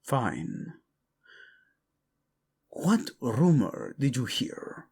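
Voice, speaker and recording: A man's voice: mid-range voice, huge pitch swings, very slow, gravelly, a little mellow, breathy edge, exaggerated voice. A man playing a proud, brooding young prince in an audio drama, curt and sardonic, with a Spanish accent. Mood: exasperated. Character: brooding, proud young prince, defensive about his dead brother.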